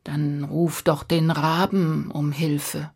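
A woman voicing a gruff male wolf who speaks in a low, growled half-whisper. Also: little pitch movement, slow, darkish tone, full character voice.

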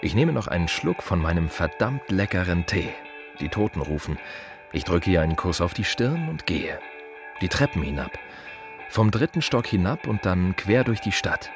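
An aging gravedigger in a radio play monologue, with a very low voice over soft music. He is weary and reflective, fond of silence. He muses in terse fragments, circling back to repeat his phrases. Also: big pitch swings, normal speed.

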